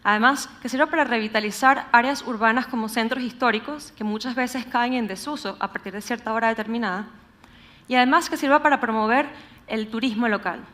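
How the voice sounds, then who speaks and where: A young woman with a Venezuelan accent from Caracas, giving a prepared public talk in a measured, explanatory manner. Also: fairly high pitch, fairly bright tone. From Venezuela, grew up in Caracas. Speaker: conference speaker. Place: on stage at a live talk event.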